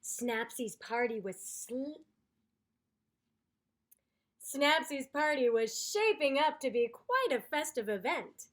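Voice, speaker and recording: A woman reading a children's story aloud in an expressive, theatrical, deliberate way. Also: high voice. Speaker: storyteller, voice actor.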